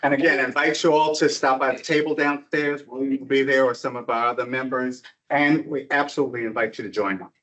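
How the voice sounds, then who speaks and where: A man organizing the event makes brisk announcements to the audience. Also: medium pitch, fairly even intonation, fairly bright tone. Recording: distant, muffled sound.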